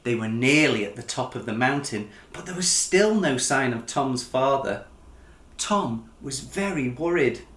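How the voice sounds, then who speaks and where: A man narrating a children's picture book in an expressive storytelling style, as the author reading aloud. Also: mid-range voice, a little slow, echoey room.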